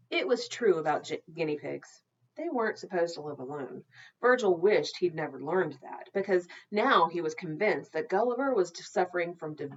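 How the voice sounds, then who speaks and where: A woman narrating a children's novel aloud in an expressive, deliberate storytelling manner. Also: lowish voice, dull audio. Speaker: storytime reader, teacher.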